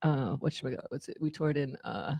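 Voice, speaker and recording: Low-voiced woman chatting in a dry studio. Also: fast, creaky, husky, dry recording. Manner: animated storytelling, quotes imagined dialogue. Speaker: radio show guest, former tour manager. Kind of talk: casual conversational interview.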